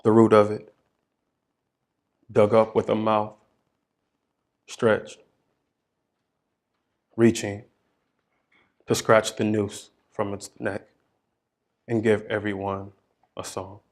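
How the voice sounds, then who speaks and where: A young man performing his poetry aloud, chanting repeated phrases with sweeping swings from hushed murmurs to shouts. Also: fairly low pitch, completely flat delivery, slow, long pauses. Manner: rhythmic, incantatory delivery with chanted repetitions. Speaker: poet.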